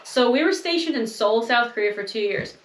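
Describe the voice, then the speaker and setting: A young woman co-hosting a casual chat, self-mocking and quick to laugh. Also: a little high, expressive, reverberant, a little hiss or hum. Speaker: co-host.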